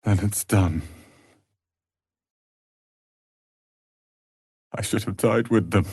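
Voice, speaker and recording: A man's voice: on the low side, moderate pace, gravelly, a little mellow, husky, dry recording, clean studio sound, heavily acted. A man playing a proud young wizard's apprentice in a fantasy video game, speaking in a pompous, articulate, theatrical manner. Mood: sombre, remorseful.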